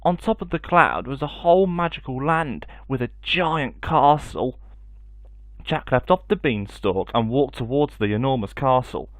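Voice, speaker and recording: A man's voice: medium pitch, expressive, measured pace, no room sound. A man reading a children's story expressively as a storyteller.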